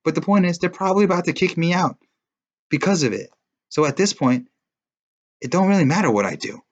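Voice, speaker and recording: A man's voice: fairly low pitch, very animated, fairly quick, lo-fi sound. A man voicing a shaken, defensive teenage boy who stammers and snaps back in a read-aloud story.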